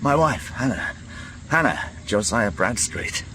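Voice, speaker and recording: An actor playing a grieving, tormented father in a radio drama, speaking in halting, repeated phrases. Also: medium pitch, animated, sharp tone, slight background noise, low-quality recording. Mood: tender, overcome. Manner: halting, broken delivery, repeating himself. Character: grieving, tormented father, an American former prisoner of war.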